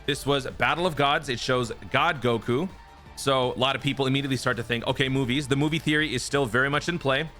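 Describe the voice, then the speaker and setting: A man's voice: medium pitch, quick pace, music in the background. A man hosting a gaming channel, casually walking through teasers and speculating, often checking in with "right?". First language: not Japanese. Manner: chatty, explanatory, frequent "right?" tags. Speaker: YouTube gaming channel host.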